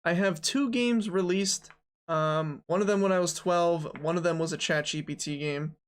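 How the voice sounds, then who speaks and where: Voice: mid-range voice, normal speed, clear, resonant tone. A person in their early twenties streaming game development, talking casually and thinking aloud with jokes and frequent fillers. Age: 20s. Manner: joking, rambling, self-talk with fillers.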